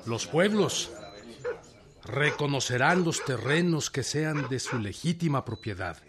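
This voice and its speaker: An actor playing a solemn, defiant Mexican revolutionary leader, declaiming proclamations formally in a radio drama. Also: male voice, mid-range voice, expressive, unhurried, lightly acted. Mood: firm, solemn. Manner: oratorical, emphatic, formal.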